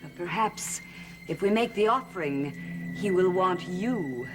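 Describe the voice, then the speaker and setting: A woman's voice: animated, measured pace, background noise. An actress playing a sinister female cult servant in a 1970s TV soap opera, solemn and scheming. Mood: soothing. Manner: solemn, scheming, low intoning. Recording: music score behind voice.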